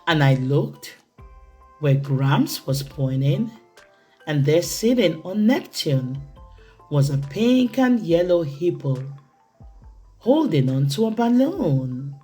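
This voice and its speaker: Slow, animated woman reading a storybook. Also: low-pitched, soft background music. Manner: theatrical, deliberate phrasing for young children. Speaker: children's storytime reader. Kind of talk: expressive read-aloud picture-book narration.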